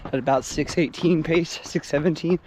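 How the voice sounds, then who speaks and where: A man's voice: steady tone, moderate pace, rough recording. A man in his thirties, losing his voice, talking casually and thinking aloud while reviewing running shoes outdoors on an action camera. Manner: low-key, thinking aloud. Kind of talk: casual vlog commentary. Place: outdoors on a porch and dark streets. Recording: camera audio with loud outdoor noise.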